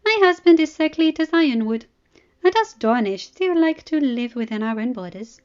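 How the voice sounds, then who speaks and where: A woman voicing a sensual, teasing young princess, high-pitched. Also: expressive, normal speed, a little mellow, highs cut off. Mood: breezy. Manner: drawling, provocative, unrepentant. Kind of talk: audiobook character dialogue.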